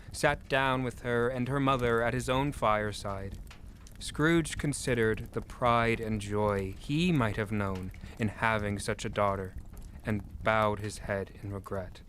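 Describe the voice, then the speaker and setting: A man's voice: medium pitch, fairly bright tone, slight background noise. A man giving scripted storybook narration for an audio drama.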